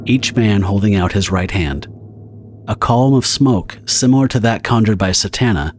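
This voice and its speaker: Low-voiced male story narrator over music. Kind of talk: scripted dramatic story narration.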